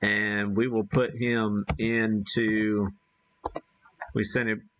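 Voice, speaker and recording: A man's voice: on the low side, flat delivery, extremely slow, a bit bright, muffled recording. An American man hosting a casual live radio demonstration, thinking aloud in a folksy way. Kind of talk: casual live-stream chat while operating.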